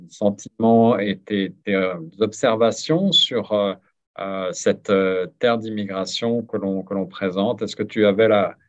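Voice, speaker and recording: Man interviewer, lowish voice, rambling questions, muffled audio. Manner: long winding questions with fillers. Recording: dull lo-fi sound.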